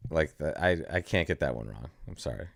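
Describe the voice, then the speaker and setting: A man's voice: rumbling low, expressive, fairly quick, vocal fry, no echo, studio quality. A man hosting casual live bridge commentary, chatty and joking at his own expense. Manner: joking, chatty, self-deprecating.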